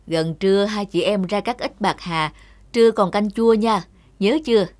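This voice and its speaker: A woman's voice: mid-range voice, moderate pace, a little mellow, no echo, close to an everyday voice. A woman playing a caring but firm mother who instructs and admonishes her children in a cartoon folk tale. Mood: brisk, instructing. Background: background music.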